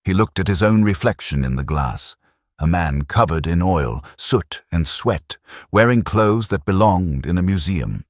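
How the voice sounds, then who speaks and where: A man reading a science fiction audiobook with grave drama. His voice is extremely deep and husky, with plenty of movement in pitch. The sound is close and dry. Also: expressive, a little slow, no room sound. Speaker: narrator. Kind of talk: scripted audiobook narration.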